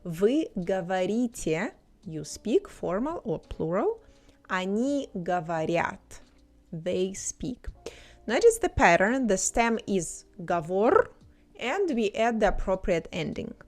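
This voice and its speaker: Slow, careful woman teaching a language. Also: mid-range voice, big pitch swings. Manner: slow, carefully spelled-out explanations. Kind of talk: scripted lesson narration.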